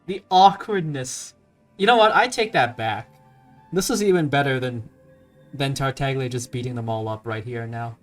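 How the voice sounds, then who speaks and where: A man's voice: mid-range voice, moderate pace. A man giving informal, amused gameplay commentary, thinking aloud as he reacts. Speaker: let's play commentator. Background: game music.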